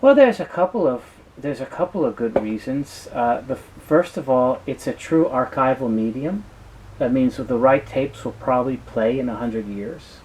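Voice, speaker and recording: Man explaining haltingly over noticeable hiss. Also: mid-range voice, expressive, darkish tone, poor audio. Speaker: business founder being interviewed. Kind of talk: casual interview talk.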